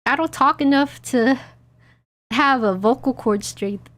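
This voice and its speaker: A woman streamer with a hoarse, tiring voice, giggling and chatting casually with crude jokes as she plays. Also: sits high, unhurried, a bit bright. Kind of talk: casual gaming stream chat.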